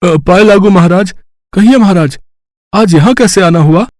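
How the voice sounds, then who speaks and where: A man's voice: high-pitched, moderate pace, darkish tone, dry recording, studio quality, lightly acted. A man playing a humble village goat seller, deferential and eager to please. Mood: obliging. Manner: deferential, hesitant openings.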